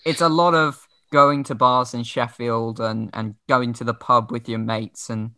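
A man's voice: medium pitch, steady tone, a little slow, pushed and bright, clean tone, no echo, studio quality. A young man co-hosting a podcast, chatting casually and jokily about music. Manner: jokey, playful. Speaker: podcast co-host.

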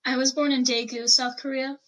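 A young woman speaking softly and hesitantly in a casual chat. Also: high voice, little pitch movement, fairly quick. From United States.

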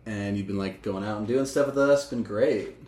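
A man in his twenties co-hosting a podcast, relaxed and playful, laughing often. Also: fairly low pitch, normal speed. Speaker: podcast co-host. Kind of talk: casual chat. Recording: echoey room with faint hiss.

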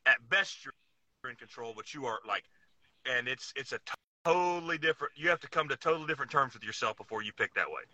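A man's voice: medium pitch, brisk, sharp tone, no echo, poor audio. A man co-hosting a casual sports podcast with emphatic, blunt banter, repeating phrases to drive his points home. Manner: blunt, casual slang and swearing. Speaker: podcast co-host.

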